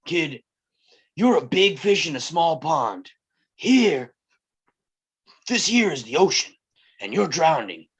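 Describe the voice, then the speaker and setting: A man's voice: very animated, breathy edge, full character voice. A man reading the part of a brash, wisecracking middle-aged circus owner with a blunt, joking manner. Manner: joking, blunt, fast-shifting pitch. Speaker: table read actor. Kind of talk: live table read acting.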